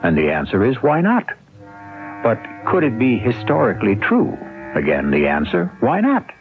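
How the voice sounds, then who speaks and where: A man narrates as a radio drama host in a low voice. His scripted delivery is theatrical, with extremely sweeping intonation. Music plays behind a thin, phone-like old recording. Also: wildly swooping pitch. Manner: dramatic, deliberate emphatic phrasing. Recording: narrow-band vintage radio, music behind.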